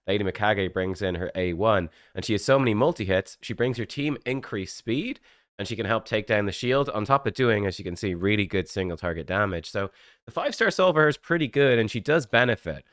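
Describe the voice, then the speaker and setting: A man with a stuffy, slightly rough voice from a cold, giving a chatty, rambling breakdown of a game event as a gaming channel host. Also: fairly low pitch, animated, brisk, fairly bright tone, no room sound, clean studio sound. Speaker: gaming YouTuber. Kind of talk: casual game commentary.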